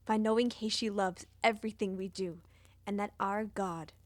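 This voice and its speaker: A woman's voice: medium pitch, fairly even intonation, moderate pace, dry recording, lightly acted. Woman voicing a zealous female necromancer. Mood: fervent. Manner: zealous, declamatory. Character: fervent, defiant female necromancer, devout second in command.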